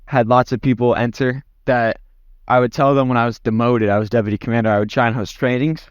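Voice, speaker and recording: A man's voice: mid-range voice, fairly even intonation, fast talker, smooth, no echo, highs cut off. A man giving casual interview answers in a blunt, offhand way, swearing freely. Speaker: interview guest, former commander in an online roleplay community.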